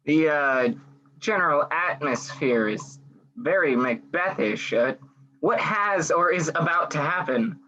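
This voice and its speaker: An actor playing a genial, slightly hesitant gentleman guest in a radio play, with a dull sound and music behind. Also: on the high side, expressive, a little slow.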